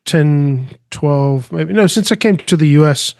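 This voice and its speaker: Earnest man with halting restarts. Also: on the high side, creaky, darkish tone, no room sound, clean studio sound. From England, raised in Spain. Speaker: artist and guest. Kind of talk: conversational interview answers.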